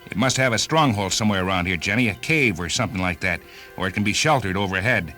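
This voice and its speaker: A man's voice: sits low, expressive, fast, pushed and bright. An actor playing a driven, impatient man on a hunt in a science fiction radio drama, urgent and commanding. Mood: tense, determined. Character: driven, impatient man hunting a renegade car. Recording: music behind the voice.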